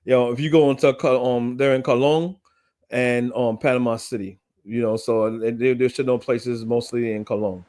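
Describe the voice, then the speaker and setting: A man's voice: mid-range voice, fairly even intonation, moderate pace, mellow. A man on a podcast panel talking casually and hesitantly, with many ums and restarts. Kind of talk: casual chat.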